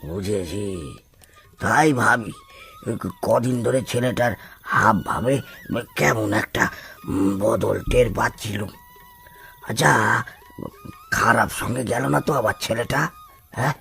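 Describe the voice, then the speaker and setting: Man acting a gravelly, frail old village grandfather. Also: mid-range voice, fairly bright tone. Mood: pensive, concerned. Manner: halting, stammering, questioning. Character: frail, grumbling, caring old village grandfather, former occultist. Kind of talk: Bengali cartoon story acting.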